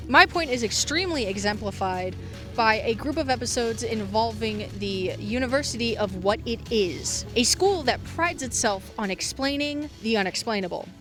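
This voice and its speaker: A woman narrating a scripted video essay with wry, informal asides and emphatic phrasing. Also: on the high side.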